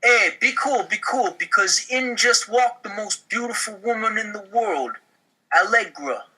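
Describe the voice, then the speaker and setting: A man plays an eager, awkward pizzeria son in a comedy script. The voice is very bright and forceful, swinging widely in pitch, lovestruck and clumsy in its delivery. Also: lively intonation, a little slow, breathy edge, full character voice, rough recording. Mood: lovestruck, flustered. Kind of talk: comedy table read.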